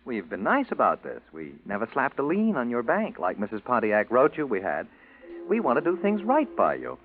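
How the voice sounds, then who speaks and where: An actor playing an insinuating alimony lawyer in a 1940s radio drama, falsely apologetic and wheedling. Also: male voice, medium pitch, huge pitch swings, normal speed, narrow, tinny audio. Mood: wheedling, pushy. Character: smooth-talking, insinuating male alimony lawyer. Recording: band-limited old 1940s radio recording.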